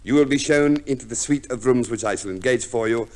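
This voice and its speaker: An actor playing a fussy, pompous divorce lawyer, formal and precise, in 1950s radio drama style. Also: male voice, mid-range voice, a little fast, a little mellow, close to an everyday voice. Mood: smoothly instructive. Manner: formal, precise, unctuous, hesitant hums. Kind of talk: 1950s radio play acting.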